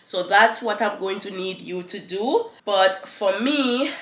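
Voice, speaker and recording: A woman's voice: medium pitch, measured pace, a bit bright. A young woman with a slightly congested voice, explaining things to camera like a tutorial host. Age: young adult. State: congested from a cold. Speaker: YouTube host giving advice. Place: small living room on a couch, indoors. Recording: roomy, echoing living room.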